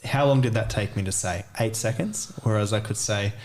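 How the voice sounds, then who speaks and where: A man's voice: on the low side, normal speed, a little mellow, a little hiss or hum. Easygoing Australian man chatting. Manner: easygoing, chatty, lots of "sort of" and "like". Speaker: podcast guest, DJ. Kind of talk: casual interview chat over beers.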